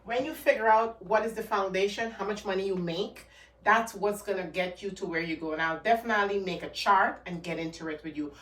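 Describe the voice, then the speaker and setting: A woman's voice: mid-range voice, a little fast, fairly bright tone, far from the mic, a little hiss or hum. A woman from Guyana with a Guyanese accent, a channel host giving earnest, emphatic money advice to camera. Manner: earnest, repeats points, emphatic. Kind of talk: casual talk-to-camera advice. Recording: echoey room, off the mic.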